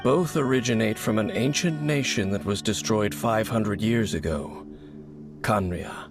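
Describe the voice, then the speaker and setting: A grave, reserved warrior from a video game. His voice sits low with a gravelly edge, and he speaks formally and cryptically, weighing his words with solemn restraint over soft background music. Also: a little slow. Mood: solemn, cryptic. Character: brooding, mysterious adult male warrior, grave and reserved. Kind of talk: dramatic video game character acting.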